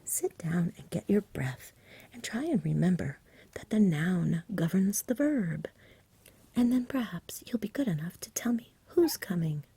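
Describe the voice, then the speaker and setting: A woman's voice: on the low side, animated, measured pace, gravelly, mellow, husky, exaggerated voice. A woman voicing a lazy, fussy, polite dragon in a whispered ASMR storybook reading. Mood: languid, unruffled. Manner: languid, polite, mildly plaintive. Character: lazy, genteel, fussy dragon who refuses to fight.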